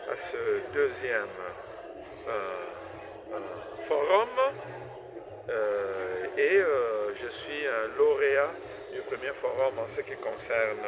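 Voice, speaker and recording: A middle-aged man from Congo, a professor and inventor, answering interview questions with many fillers and stuttered repeats. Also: mid-range voice. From Democratic Republic of the Congo. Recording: noisy event recording with vehicle sounds.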